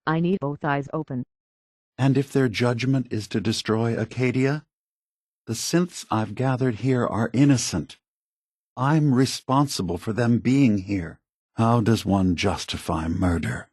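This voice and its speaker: An actor playing a weary, guilt-burdened synth leader in a video game, speaking softly in a measured, grave, philosophical way. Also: male voice, mid-range voice, dry recording, clean studio sound. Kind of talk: scripted video game character dialogue.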